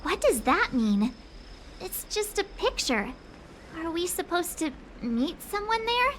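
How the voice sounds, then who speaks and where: A woman playing a perky, curious and blunt young girl adventurer in a game, with an expressive, questioning manner. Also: very high voice, slow pace, a little hiss or hum, exaggerated voice. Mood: puzzled. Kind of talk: English game voice acting.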